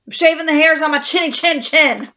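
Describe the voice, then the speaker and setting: A woman voicing a rude, grumpy male little pig from a storybook, yelling curt dismissals at a caller. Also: high-pitched, a little fast, muffled recording, voice pushed up. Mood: rude, irritated.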